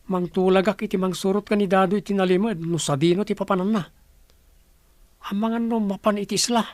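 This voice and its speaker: High-pitched scheming village man, acted by a man. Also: steady tone, a little fast, dry recording, close to an everyday voice. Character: scheming, suspicious village man reporting secrets. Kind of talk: Ilocano radio drama acting.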